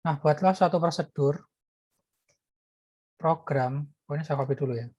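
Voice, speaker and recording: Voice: mid-range voice, normal speed, a little mellow, breathy edge, dry recording. A lecturer explaining programming while typing code, thinking aloud with many fillers and restarts. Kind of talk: live coding lecture.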